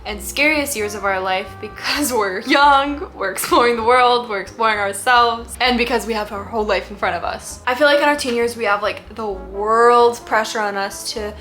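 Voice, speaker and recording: A woman's voice: a little high, moderate pace, few pauses, bright, forceful tone, faint music behind. A 19-year-old woman giving advice in a casual vlog, chatting to viewers in an earnest, emphatic way and repeating words for stress. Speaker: YouTube lifestyle vlogger and host. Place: indoor home filming setup by a window.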